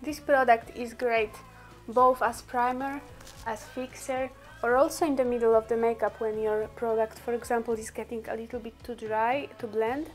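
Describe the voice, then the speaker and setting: A woman's voice: fairly high pitch, steady tone, moderate pace. Give me a woman, native Polish speaker, chatting casually with lots of ums and laughs over faint music. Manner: chatty, many fillers, laughs often. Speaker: beauty vlogger. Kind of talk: casual makeup tutorial.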